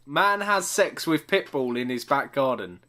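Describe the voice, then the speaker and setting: A man's voice: mid-range voice, animated, unhurried, sharp tone. A man co-hosting a podcast, bantering casually with teasing questions and interjections, recorded with some background noise. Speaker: podcast co-host.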